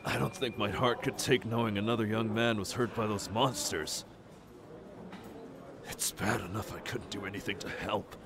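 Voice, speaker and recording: A man voices a worried, kindly middle-aged mentor from an anime dub. His voice sits low, earnest and halting. A bustle of people is heard behind him. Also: steady tone, a crowd in the background, natural voice. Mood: worried, sorrowful. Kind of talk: anime comic dub acting.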